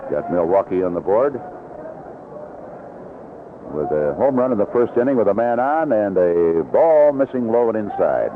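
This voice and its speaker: A North American man calling baseball play-by-play as a live radio announcer. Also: fairly low pitch. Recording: tinny, noisy vintage AM radio broadcast.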